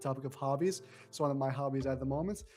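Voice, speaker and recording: Male guest, strained voice with small cracks, chatty and giggly, soft music faintly behind. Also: mid-range voice, fast talker. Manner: chatty, laughs often, repeats words. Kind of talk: casual chat on a live stream.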